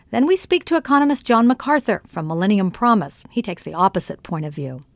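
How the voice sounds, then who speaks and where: A woman's voice: medium pitch, no echo. Female radio news host and interviewer, scripted delivery, very dry close sound.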